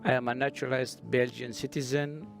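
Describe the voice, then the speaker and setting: A middle-aged man from Syria, a Kurdish and Arabic native speaker, talking as a poet at a literary festival with many drawn-out "uh" pauses and then reciting poetry. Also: medium pitch, steady tone, vocal fry, soft background music. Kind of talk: hesitant prepared talk, then poetry recital.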